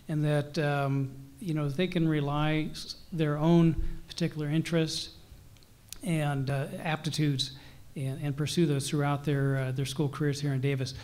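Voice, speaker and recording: A hoarse man in his forties, a school board candidate, speaking earnestly and wordily into a desk mic. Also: on the high side, a little mellow. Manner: earnest, wordy, with restarts. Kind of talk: forum statement. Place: meeting room at a panel table. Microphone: desk mic on a stand.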